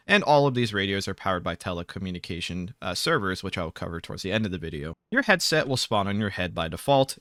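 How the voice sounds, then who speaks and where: A man's voice: fairly low pitch, pushed and bright, no room sound, clean studio sound. A man giving an informal, matter-of-fact tutorial narration for a video game, explaining things step by step in an instructional way.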